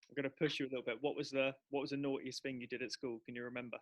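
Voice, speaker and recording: A man's voice: medium pitch, little pitch movement, very fast. A man hosting a school staff podcast, asking friendly, teasing questions. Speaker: podcast host interviewing staff. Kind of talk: casual interview hosting.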